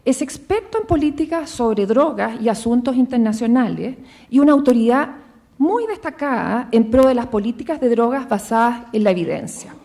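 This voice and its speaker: A woman with a Chilean accent moderating a seminar on a theater stage, speaking formally with the odd filler. Her voice is high, yet its tone is dark and mellow. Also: animated, moderate pace. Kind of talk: event announcements and introduction.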